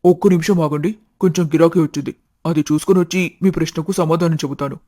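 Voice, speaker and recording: A man's voice: fairly high pitch, fairly even intonation, quick pace, warm tone, no echo, clean studio sound, natural voice. A man voicing a talkative, good-hearted young snack-shop owner in a family story, polite, chatty and matter-of-fact.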